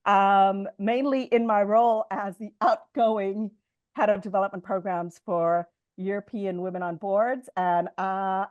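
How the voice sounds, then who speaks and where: A woman hosts a webinar over a video call and hands the floor to each speaker in turn. The call audio is dull and lo-fi, and the sound is dry. She speaks haltingly, with many fillers. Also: medium pitch, no echo. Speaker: session facilitator. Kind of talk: hosting a webinar.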